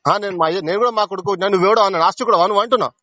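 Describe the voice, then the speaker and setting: Fast, high-pitched angry man on phone. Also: pushed and bright, no echo. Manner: angry and confrontational. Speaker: caller. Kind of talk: heated phone argument. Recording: muffled phone call.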